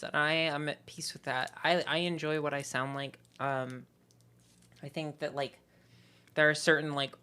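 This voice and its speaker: A young woman streamer chatting casually and candidly with her viewers, her voice lowered by a sore throat, rambling with lots of "like" fillers. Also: bright, forceful tone. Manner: rambling and candid, heavy use of "like". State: sore throat, voice deeper than usual. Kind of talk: casual live-stream chat.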